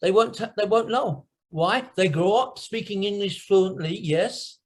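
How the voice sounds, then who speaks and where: High-voiced man teaching over a video call. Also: measured pace. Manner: patient, repeats and lists points, checks understanding with "right?". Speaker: guest English teacher. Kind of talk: explaining to a class in an online lesson.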